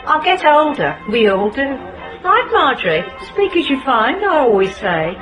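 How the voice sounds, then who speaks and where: An actress playing a bossy, chatty, jealous British wife out dancing in a radio drama. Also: female voice, high voice, big pitch swings, a little slow. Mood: brisk, bossy. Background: music and busy dance hall crowd.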